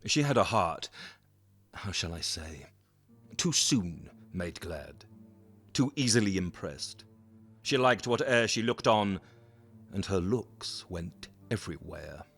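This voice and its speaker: Man acting a forceful, haughty jealous duke. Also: low voice, expressive, husky, soft background music, exaggerated voice. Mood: cool, musing. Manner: haughty, deliberate, emphatic. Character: proud, jealous aristocratic Renaissance duke, coldly menacing. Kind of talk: theatrical verse monologue in audio drama.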